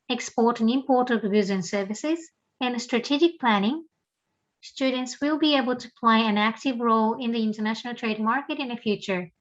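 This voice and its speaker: A woman narrates a university promo in a measured, explanatory read. Her voice sits a little high. The recording is dull and lo-fi. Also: a bit bright. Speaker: program narrator. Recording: dull lo-fi sound.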